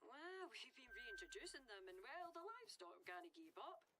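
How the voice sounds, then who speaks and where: A woman's voice: extremely high pitch, huge pitch swings, normal speed, heavy background noise, full character voice. An actress playing a breezy Scottish countrywoman in a video game, chatty, colloquial and wry as she hands out errands. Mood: wry, easygoing. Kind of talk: game dialogue.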